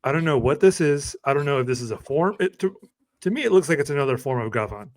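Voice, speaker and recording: Relaxed rambling male podcast co-host. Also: a little high, quick pace. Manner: relaxed, rambling, filler-heavy. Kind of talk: casual podcast chat.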